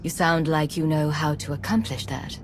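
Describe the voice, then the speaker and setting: A woman's voice: sits low, fairly even intonation, moderate pace, slight background noise, close to an everyday voice. A woman playing a composed, dry-witted agent commander in a video game, cool and clipped in manner. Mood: cool, determined. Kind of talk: cinematic video game acting.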